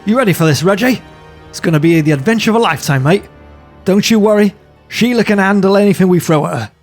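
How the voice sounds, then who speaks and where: A man voicing an excitable young helicopter pilot who talks eagerly and loudly. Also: high-pitched, fairly quick, breathy edge, faint music behind, pitched above the performer's own voice, exaggerated voice. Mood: thrilled. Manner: boisterous, eager, shouting with excitement.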